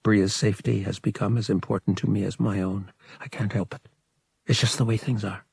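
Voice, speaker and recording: A man's voice: on the low side, flat delivery, a little fast, gravelly, a little mellow, slightly rough, no echo, full character voice. A man voicing a cynical, wary young male space pilot in an audiobook, with a wry, sardonic delivery. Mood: resigned. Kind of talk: audiobook character dialogue.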